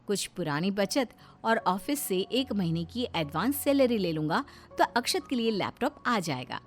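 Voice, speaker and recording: A woman's voice: medium pitch, big pitch swings, moderate pace. A woman giving scripted narration of a story.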